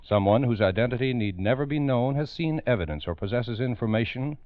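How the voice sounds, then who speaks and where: A man's voice: mid-range voice, expressive, a little fast, no room sound. An American man narrating an old radio crime program in a solemn, emphatic, theatrical manner, on a muffled recording. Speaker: narrator and host.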